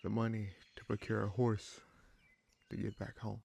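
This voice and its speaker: A man playing an easygoing, protective bandit leader in a fantasy roleplay audio drama, speaking in a halting, conversational way with a gravelly voice. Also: fairly low pitch, completely flat delivery, a little mellow, full character voice. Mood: sincere, grateful. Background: birdsong, forest ambience.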